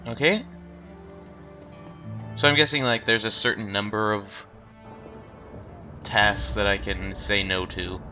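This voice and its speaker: A man's voice: fairly low pitch, fairly even intonation, slow pace, long pauses, sharp tone. Stuffed-up man rambling over a game. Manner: rambling, hesitant, many ums. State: stuffed-up nose. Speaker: let's play host. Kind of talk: casual game commentary. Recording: game music behind.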